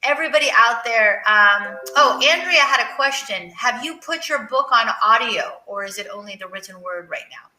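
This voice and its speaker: An effusive woman hosts a live interview in a strikingly bright, fairly high voice. She encourages her guest warmly in words and reacts with open enthusiasm. The sound is noticeably roomy and echoing. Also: expressive, moderate pace, few pauses. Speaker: interview show host. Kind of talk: live interview, reading viewer comments.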